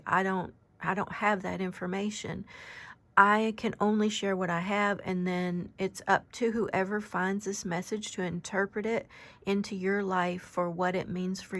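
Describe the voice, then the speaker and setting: A very soft-spoken woman in her fifties, giving an unscripted oracle card reading with gentle, halting delivery and frequent pauses. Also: medium pitch. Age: 50s.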